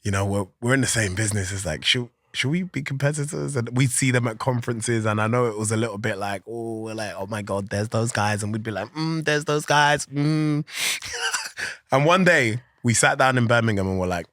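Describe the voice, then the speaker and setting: Guest: man, animated, loose and chatty, close dry mic. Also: medium pitch, a little fast, no room sound. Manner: animated, chatty, laughs often, many fillers. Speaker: podcast guest, organisation founder. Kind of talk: casual interview chat.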